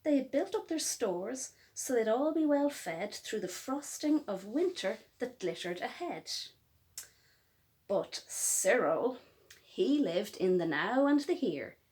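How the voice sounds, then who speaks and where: A high-voiced woman performs a rhyming children's story. She takes her time, swooping widely in pitch, stretching key words and pausing for dramatic effect. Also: sing-song, darkish tone. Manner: dramatic, emphatic, with drawn-out words and pauses. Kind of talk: expressive picture-book storytelling in rhyme.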